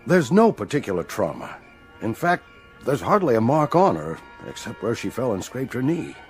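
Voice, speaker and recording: A man's voice: medium pitch, very animated, normal speed, faint music behind, lightly acted. An actor playing a concerned, plain-spoken male doctor in a radio drama, speaking thoughtfully. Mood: grave, concerned.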